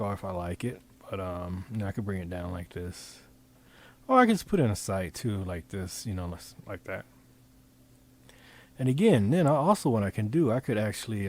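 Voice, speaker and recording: A man talking through an informal software demonstration, improvising in a halting way as he thinks aloud and repeats phrases. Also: on the low side. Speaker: presenter demonstrating design software. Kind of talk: informal screen-recording tutorial, improvised.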